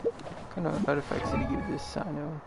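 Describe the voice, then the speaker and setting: An actor playing a brash, excitable, grumbling young man in a video game, with a creaky voice. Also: a little high, a little fast, loud music behind the voice.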